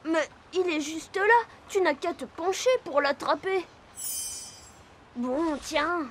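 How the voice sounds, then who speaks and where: A woman voicing a cheerful, curious young animal in a children's cartoon, talking in a bright, childlike way straight to young viewers. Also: very high voice, slow, noisy background. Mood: curious, friendly. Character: Jasmin, cheerful curious young animal who travels to friends. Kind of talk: children's cartoon dialogue in French. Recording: music behind the voice.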